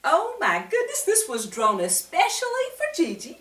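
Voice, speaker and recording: An older woman hosting a children's Bible story time, speaking directly to young listeners with frequent questions and pauses between phrases. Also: high voice, huge pitch swings, fairly bright tone. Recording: roomy, echoing, distant microphone.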